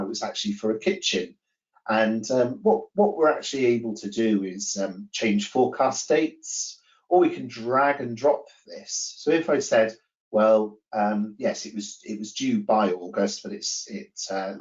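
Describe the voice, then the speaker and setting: A man giving an informal software demonstration, talking through the screen with hesitant, repeated phrasing and self-corrections. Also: on the low side. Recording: roomy, distant, muffled microphone.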